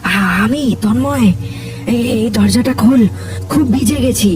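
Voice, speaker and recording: A narrator voicing an eerie, drenched young man in a cartoon ghost story, stammering and halting. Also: medium pitch, over loud music, lightly acted.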